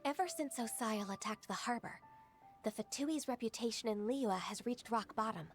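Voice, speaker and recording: A woman's voice: a little high, normal speed, close to an everyday voice. A woman voicing a polite, earnest young government secretary in a video game, speaking in a formal, composed way. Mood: earnest, resolute. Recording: studio voice over game music.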